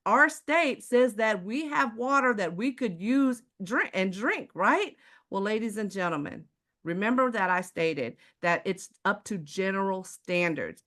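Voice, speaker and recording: Persuasive Texan woman pitching a product. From Houston, Texas. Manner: persuasive, rhetorical questions, repeats "ladies and gentlemen". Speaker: product presenter. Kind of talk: sales presentation to camera.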